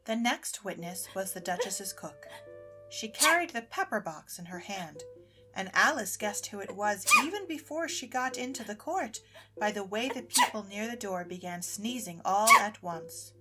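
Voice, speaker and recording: A woman's voice: mid-range voice, animated, normal speed. A woman reading scripted storybook narration as the narrator of an audio drama. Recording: music under the voice.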